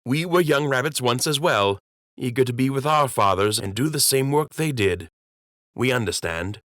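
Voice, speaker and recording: A man's voice: mid-range voice, moderate pace, a bit bright, dry recording, studio quality, voice pushed up, close to an everyday voice. A man voicing a loyal, reserved rabbit ship captain who speaks politely and respectfully in an audiobook.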